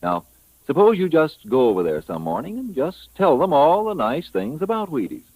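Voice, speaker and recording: A man's voice: on the high side, wildly swooping pitch, normal speed, darkish tone, dry recording, poor audio. An American man delivering a vintage radio sponsor spot in a folksy, confiding, chatty way. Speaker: radio advertising announcer.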